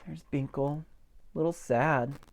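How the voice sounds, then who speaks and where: A man reading a picture book aloud as a pastor at storytime, with gentle expression and simple asides for young children. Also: a little high, a little slow, creaky. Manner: gentle, expressive, stretches key words for emphasis.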